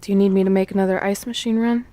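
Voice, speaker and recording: A woman's voice: mid-range voice, steady tone, very fast, noisy background, lightly acted. An actress playing a remorseful young woman wrestler and close friend, in sincere, conversational video game cutscene dialogue. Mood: teasing, giddy.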